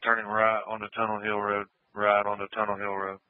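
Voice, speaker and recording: A man's voice: fairly low pitch, completely flat delivery, fairly quick, no room sound, narrow, tinny audio. A man working as a police radio dispatcher, giving terse procedural updates and repeating road names over a scanner. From United States. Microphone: radio dispatch microphone.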